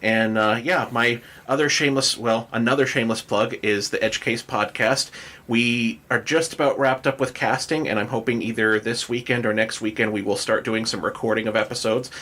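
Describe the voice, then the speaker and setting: A man hosts a casual podcast in a bright, clear-cut voice of average pitch. He talks informally, with a joking and self-deprecating manner. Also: fairly even intonation, moderate pace. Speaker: podcast host.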